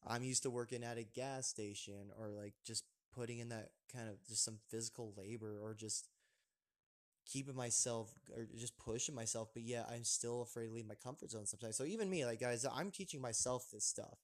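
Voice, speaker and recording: A man's voice: mid-range voice, brisk. Young man, podcast host, casual chat, rambling, lots of fillers. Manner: rambling, many fillers and restarts.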